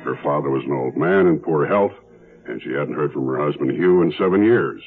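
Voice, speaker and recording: An actor playing a shrewd, methodical police detective who narrates his case in a measured way in a 1970s radio drama. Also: extremely low pitch, expressive. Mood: businesslike, determined. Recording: dull 1970s radio recording with music.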